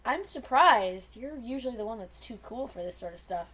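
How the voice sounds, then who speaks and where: Young woman chatting, higher-pitched voice. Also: lively intonation, normal speed, a bit bright, husky, rough recording. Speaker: podcast host. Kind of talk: casual group chat.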